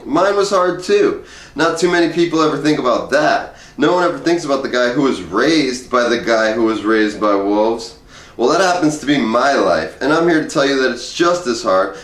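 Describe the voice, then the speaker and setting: A man talking casually and reading a humorous essay aloud in an informal, conversational way. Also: mid-range voice. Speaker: host reading aloud from a book. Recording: roomy echo, distant from the mic.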